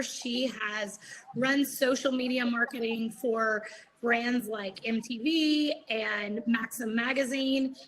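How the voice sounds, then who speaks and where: Bright-voiced woman moderating an online panel. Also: on the high side, poor audio. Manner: chatty, frequent filler words. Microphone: video call.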